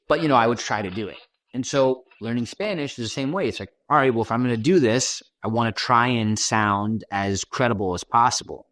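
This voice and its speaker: A man's voice: lowish voice, fairly bright tone, dry recording. A man from Washington D.C. with an American accent, a podcast guest talking casually in an interview, loose and chatty, with lots of "you know" and "right". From Washington D.C., USA. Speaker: podcast guest, content creator.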